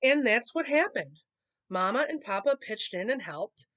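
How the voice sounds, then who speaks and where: Woman, children's storyteller, animated intonation, expressive picture book reading, dry sound. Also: on the high side, moderate pace, no room sound. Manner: expressive reading, acting out dialogue.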